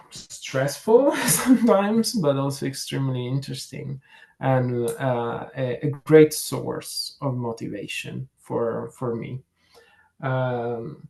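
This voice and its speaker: A man with an Italian accent speaks in a mid-range voice at a slow pace. He is reflective and conversational, as if answering in an interview. He often pauses mid-sentence to search for the next phrase. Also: mid-range voice, vocal fry, a little mellow. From Italy. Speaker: career fair interviewee, program manager.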